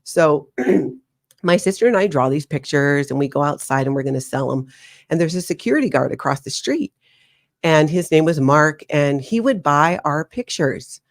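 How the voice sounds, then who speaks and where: Lively woman sharing her life story. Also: low-pitched, moderate pace. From Hawaii. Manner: animated, laughs often, slips into acted quotes. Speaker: interview guest. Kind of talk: candid storytelling interview.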